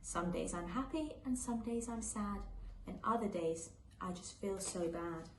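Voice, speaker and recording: A woman's voice: mid-range voice, unhurried, pitched below the performer's own voice, natural voice. A woman voicing a sad young boy in a children's storybook, earnestly and hesitantly opening up in rhyme. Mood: sad, confiding. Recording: echoey room with faint background noise.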